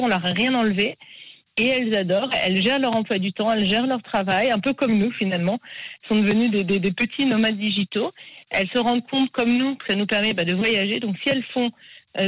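A woman's voice: medium pitch, no room sound, poor audio. A woman in her forties from France, talking at length and with enthusiasm as an interview guest. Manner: talkative and enthusiastic. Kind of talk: interview conversation.

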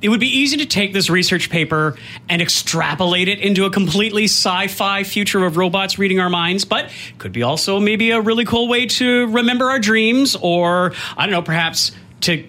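A man with a high, bright voice presents technology news for radio. He explains things in lively, conversational chat with animated enthusiasm. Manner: animated, enthusiastic, quick to laugh.

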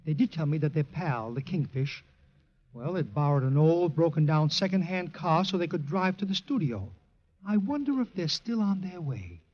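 A man's voice: a little high, animated, normal speed. A man making a scripted introduction as a 1940s radio announcer. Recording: muffled 1940s radio recording.

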